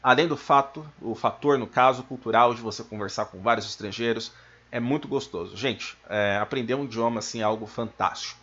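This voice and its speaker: Husky Brazilian man teaching, slightly muffled. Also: medium pitch, a bit bright. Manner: explanatory, slows down to enunciate examples. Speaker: English teacher. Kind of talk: informal video lesson. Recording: dull, lo-fi microphone sound.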